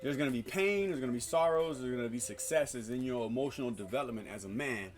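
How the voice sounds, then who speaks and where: A man's voice: medium pitch, fast talker, a little hiss or hum. A man in casual podcast conversation who talks like a preacher, citing scripture and building to emphatic, repeated phrases. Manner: cites scripture.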